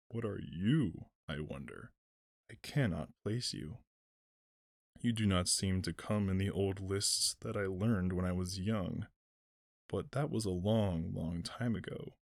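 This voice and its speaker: Man doing ancient tree giant, deep humming voice, very slow ponderous speech, dry sound. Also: dry recording.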